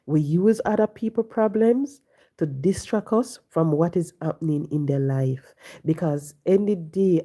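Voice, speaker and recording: A woman, hoarse and congested from the flu, talking on a casual live stream, preaching and repeating her points to viewers from home. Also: deep voice, mellow. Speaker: livestream host. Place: home, sitting.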